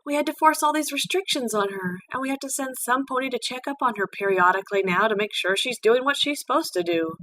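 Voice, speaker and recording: An eager young pony princess , acted by a woman reading a story, pitched well up high. She is earnest and easily flustered, with a stammering way of talking. The homemade recording has faint noise behind it. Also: animated, quick pace, hardly any pauses, a bit bright. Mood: upset. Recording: distant mic with background noise.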